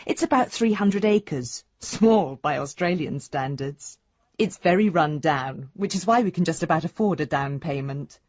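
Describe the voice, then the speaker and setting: Fond daughter reading a letter home, lowish husky voice, earnest, radio play. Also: female voice, lively intonation, unhurried, a little mellow. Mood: fond, hopeful. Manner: affectionate, earnest. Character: affectionate, hopeful married daughter writing home to father. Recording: dry, slightly dull studio sound.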